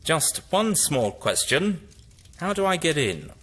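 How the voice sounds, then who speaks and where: Man acting animated, eccentric sci-fi hero. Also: fairly high pitch, slow, full character voice. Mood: resolute. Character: eccentric, resolute male time-travelling hero, or his villainous double. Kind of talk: audio drama acting.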